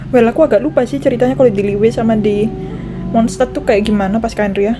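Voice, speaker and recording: A woman's voice: fairly high pitch, fairly even intonation, quick pace, over music. A young Indonesian woman with an Indonesian accent, giving casual reaction commentary on a game, thinking aloud and chatting as she goes. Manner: chatty, thinks aloud, switches between languages. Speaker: gaming reaction vlogger.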